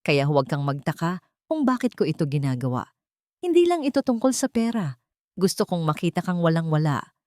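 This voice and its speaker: A woman's voice: low-pitched, big pitch swings, brisk, a little mellow, no room sound, clean studio sound. A woman voicing a bitter, vengeful man, a cold and taunting villain in an audio drama. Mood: bitter anger. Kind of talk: melodramatic audio drama acting.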